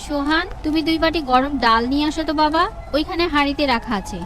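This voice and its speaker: A woman playing a kind, hard-up village mother in an animated story, gentle and concerned in manner. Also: high-pitched, fast, background noise, natural voice. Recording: music under the voice.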